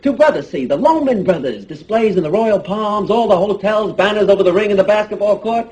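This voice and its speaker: A breezy young American brother in a family drama, always scheming. His voice is high and quick, with lively, swinging pitch and an eager manner. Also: rough recording. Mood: excited, pitching. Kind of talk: stage-drama acting.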